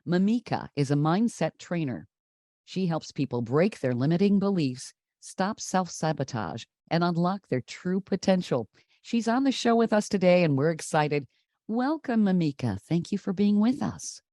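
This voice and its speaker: Low, mellow-voiced woman podcast host. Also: sing-song, normal speed, no room sound, clean studio sound. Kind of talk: scripted show intro and interview.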